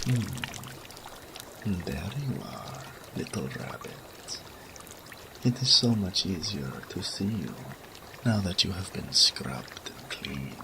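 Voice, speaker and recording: Man voicing a deep, gravelly, seductive naga. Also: background noise, full character voice. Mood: tender, admiring. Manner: slow, third-person speech, soft murmurs dropping to whispers. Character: seductive male naga, possessive, doting, teasing serpent creature. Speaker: audio roleplay voice actor. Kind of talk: intimate romantic audio roleplay.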